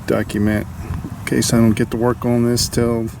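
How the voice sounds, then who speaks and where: A man giving a casual, unscripted hands-on repair demonstration, halting as he thinks aloud. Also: medium pitch, flat delivery. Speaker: hobbyist radio restorer demonstrating a repair. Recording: clearly noisy amateur video sound.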